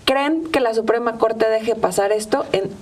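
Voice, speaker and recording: A woman's voice: a little high, moderate pace. A woman with a Mexican accent hosting a conversational interview, thinking aloud with hesitant repetitions. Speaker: host. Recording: slightly distant microphone, faint noise.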